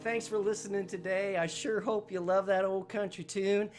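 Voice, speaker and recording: A man's voice: sits high, level tone, moderate pace, over loud music. A man from Vermont talking casually and encouragingly to his listeners as a show host, in a folksy, hesitant way. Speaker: show host and singer-songwriter.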